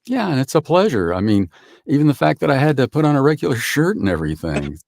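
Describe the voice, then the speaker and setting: A man's voice: medium pitch, big pitch swings, fast talker, creaky, darkish tone, no room sound. A man who does voice-over work, chatting as a guest with dry, wry humor. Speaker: voice actor guest. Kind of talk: casual chat.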